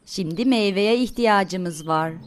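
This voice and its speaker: A woman narrating a children's cartoon in a simple, expressive way, talking to the characters and asking questions. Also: mid-range voice, takes it slowly, noisy background. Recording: studio voice-over with faint music behind. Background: faint music.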